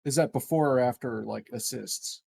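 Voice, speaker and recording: A man's voice: mid-range voice, moderate pace, vocal fry, a little mellow, husky. A man chatting casually as a player in a tabletop game, speculating and arguing his points.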